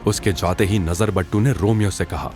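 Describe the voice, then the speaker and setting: A man's voice: low-pitched, normal speed, a little mellow, faint music behind. A man narrating a dramatic scripted audio drama series as its storyteller.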